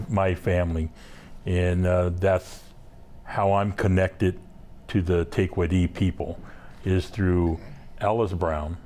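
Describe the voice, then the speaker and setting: A man from Southeast Alaska, a guest on a talk show, telling his family history in an unhurried, halting way with many fillers. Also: low-pitched, darkish tone. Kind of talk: casual interview storytelling.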